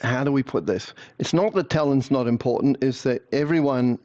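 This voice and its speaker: A man's voice: medium pitch, normal speed, a little mellow. Man explaining with stammered restarts, muffled. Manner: repeats words at the start of sentences, explanatory. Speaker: guest, language-learning speaker. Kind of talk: conversational interview answers. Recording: slightly muffled lo-fi sound.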